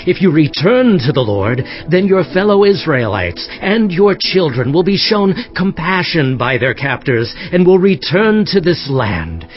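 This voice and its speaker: An earnest king of scripture played by a man makes a solemn, exhorting proclamation in an audio drama. His fairly high male voice rises and falls in lively, declamatory sweeps. The sound is slightly muffled. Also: measured pace, few pauses. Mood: urgent exhortation. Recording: slightly muffled, faint music underneath.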